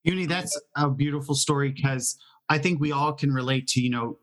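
A man speaking as the host of a podcast, addressing his listeners in clear, organised phrases. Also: mid-range voice, fairly quick, a bit bright. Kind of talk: conversational podcast hosting.

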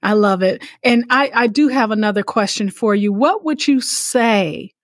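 A woman's voice: a little high, moderate pace, no room sound, clean studio sound. A woman hosting a conversational podcast interview, affirming her guest and repeating phrases for emphasis. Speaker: podcast host.

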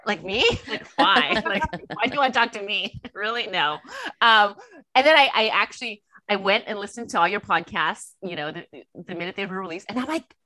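A woman with a Californian American accent chatting casually as a podcast guest, telling personal stories and acting out bits of dialogue in an animated way. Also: mid-range voice, fairly quick, dry recording. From Los Angeles, USA. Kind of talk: casual chat, personal storytelling.

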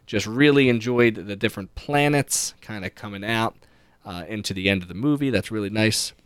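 A man's voice: lowish voice, moderate pace, a bit bright, clean tone, no room sound. A man hosting a podcast, casually recapping a book with read-aloud passages and opinionated, conversational asides. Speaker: podcast host.

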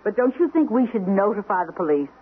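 An actress playing a nervous, hesitant wife in an old-time radio drama, on a tinny, hissy recording. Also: female voice, mid-range voice, big pitch swings, quick pace, slightly rough. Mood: worried. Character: anxious wife waiting for a missing guest.

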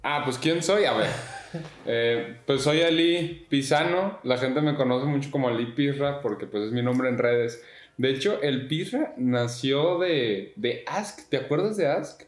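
A man's voice: mid-range voice, measured pace, roomy sound. A man in his twenties with a Mexican accent, talking as a podcast guest in a laid-back, slangy way. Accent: Mexican Spanish. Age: 20s. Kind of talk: casual podcast interview.

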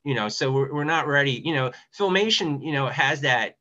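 A man's voice: medium pitch, expressive, normal speed, sharp tone. A man who is a guest on a panel, chatting casually in a rambling way peppered with "you know". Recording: dull, lo-fi sound.

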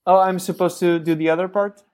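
A man co-hosting a casual tech podcast, animated and quick to laugh, with excited outbursts. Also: sits high. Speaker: podcast co-host.

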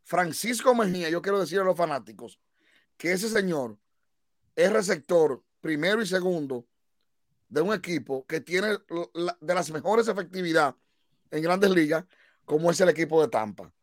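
A man's voice: fairly high pitch, animated, moderate pace, no room sound, clean studio sound. A man analyzing baseball on a panel, emphatic and playful, lecturing at times. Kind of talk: emphatic sports panel talk.

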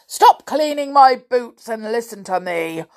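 A woman voicing a huge, dim, bad-tempered male giant in a storybook, bullying and loud. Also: medium pitch, lively intonation, unhurried. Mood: angry roaring. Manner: roaring, thundering, muttering over sums.

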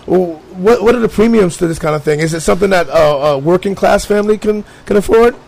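A man's voice: sits high, a little fast, creaky, a little mellow. A man hosting a talk show who asks friendly leading questions and often restarts his phrases. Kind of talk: interview questions.

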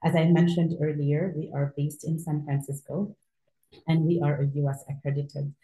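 A woman from Senegal, an interview guest, explaining her university in a measured way with frequent fillers. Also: deep voice, level tone, dark tone. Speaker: university outreach director, guest. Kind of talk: interview answers. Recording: echoey room.

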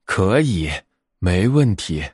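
Retired old grandfather bear, storybook, good-natured, animated intonation played by a man. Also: low-pitched, slow pace, slightly rough, no echo, studio quality, full character voice. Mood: eager, agreeable.

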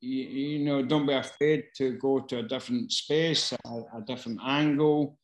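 Casting note: man, casual casting-director Q&A, hesitant and restarting, mid-pitched, roomy echo. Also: fairly even intonation. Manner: halting, many fillers and restarts. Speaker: casting director answering questions. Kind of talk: casual Q&A advice.

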